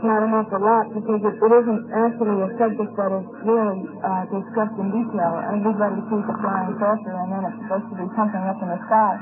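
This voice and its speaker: A woman's voice: medium pitch, flat delivery, fast talker, hardly any pauses, a crowd in the background. A woman from New Jersey giving plain, reserved answers in an informal 1950s radio interview. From New Jersey, USA. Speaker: interviewed convention visitor. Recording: noisy, tinny 1958 radio field recording.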